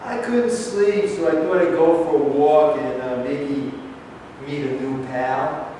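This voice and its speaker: A man's voice: a little high, slow pace, mellow. Man playing a frail, chatty old man, echoey hall. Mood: friendly, chatty. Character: frail elderly retired man, chatty, friendly bar regular. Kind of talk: staged play reading. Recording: echoey hall from far off, noisy.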